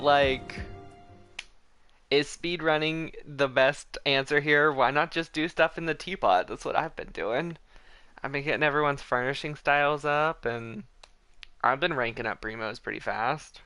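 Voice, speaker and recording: A man's voice: a little high, a little slow, bright, forceful tone, smooth, soft background music. A man giving casual live commentary while streaming a video game.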